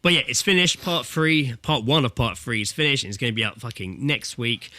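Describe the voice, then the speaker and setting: A man's voice: mid-range voice, fairly quick, sharp tone, no echo, studio quality. A British man co-hosting a podcast in casual chat, with blokey, sweary banter. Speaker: podcast co-host. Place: recorded remotely over an internet call.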